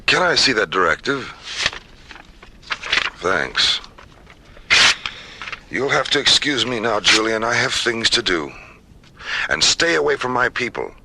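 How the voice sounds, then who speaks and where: An actor playing a principled science director, speaking firmly and articulately in a science fiction radio drama. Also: male voice, mid-range voice, big pitch swings, gravelly, very noisy. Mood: cool, defiant. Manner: measured, firm, articulate. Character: principled, firm male science director opposing weapons.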